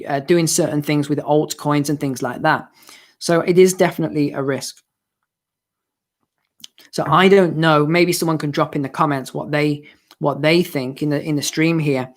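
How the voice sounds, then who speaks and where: A man hosting a live finance stream and answering viewer questions, hoarse from losing his voice, explaining in a chatty way with asides. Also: fairly high pitch. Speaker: finance channel host.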